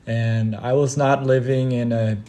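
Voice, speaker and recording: A young man from Brazil calmly telling a recorded travel story as a podcast guest. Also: medium pitch, unhurried.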